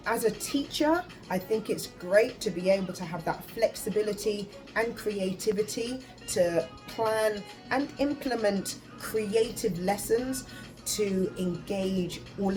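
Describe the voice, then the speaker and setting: A woman's voice: fairly low pitch, poor audio. English teacher woman in her forties. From United Kingdom. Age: 40s. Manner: unscripted, with frequent fillers and self-corrections. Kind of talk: self-introduction video answering interview questions.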